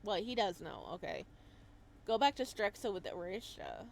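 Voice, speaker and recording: A woman hosts a let's play of a visual novel, switching between read-aloud narration and animated commentary. Her pitch sits in a middle range for a woman. There is a faint noise in the recording. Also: moderate pace, creaky. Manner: animated, reacts aloud to the story.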